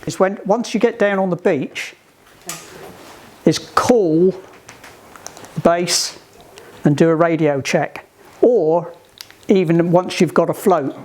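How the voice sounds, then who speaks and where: A man giving informal, practical instruction to a group, halting and often restarting his phrases. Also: a little high, darkish tone. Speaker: instructor at a sailing club radio session. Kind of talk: informal instructional talk with questions.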